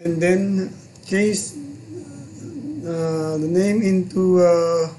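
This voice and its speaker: A man in his forties with a Filipino accent, hosting a home vlog and software tutorial, chatty and halting, mixing languages. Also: high-pitched, steady tone, slight background noise, rough recording. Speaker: channel host and software tutor. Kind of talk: casual vlog chat and screen tutorial. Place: small room at home, indoors.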